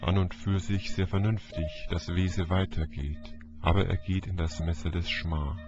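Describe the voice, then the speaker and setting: Slow, low-voiced male narrator. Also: steady tone. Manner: deliberate, declamatory. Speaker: audiobook narrator. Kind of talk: dramatic literary narration. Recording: dull lo-fi audio, music behind. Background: music.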